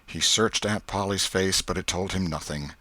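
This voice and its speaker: A man reading literary narration as an audiobook narrator, in an expressive, measured storytelling manner. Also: low-pitched, no echo.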